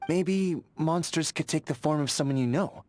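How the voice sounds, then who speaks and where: An actor playing an earnest, vigilant young sentry in a fantasy video game, friendly but overcautious, speaking in a methodical, conversational way. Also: male voice, on the high side, moderate pace, a little mellow, faint music behind, lightly acted. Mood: earnest, cautious. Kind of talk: video game character dialogue.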